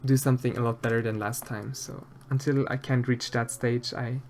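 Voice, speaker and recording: Soft-spoken Austrian man, hesitant chat. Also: mid-range voice, level tone, normal speed, slight background noise. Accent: Austrian German. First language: German. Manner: hesitant, many fillers and restarts, soft-spoken. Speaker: streamer answering chat while sculpting. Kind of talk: casual live-stream chat.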